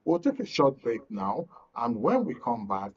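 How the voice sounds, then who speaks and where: A man's voice: medium pitch, huge pitch swings, unhurried, breathy edge, muffled recording. A man with a Nigerian accent hosting a live current affairs programme. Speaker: programme host.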